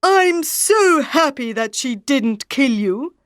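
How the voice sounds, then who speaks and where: A kind, worried father king in a storybook, speaking earnestly and very slowly, as a woman acts him out. The sound is close and dry. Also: sits high, animated, fairly bright tone, no room sound, voice pushed up, heavily acted.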